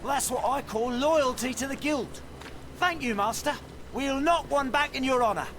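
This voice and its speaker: A man playing a cheeky, drunken village thug in a fantasy video game, cajoling in a rough manner. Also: very high voice, normal speed, fairly bright tone, slight background noise. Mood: smug thanks.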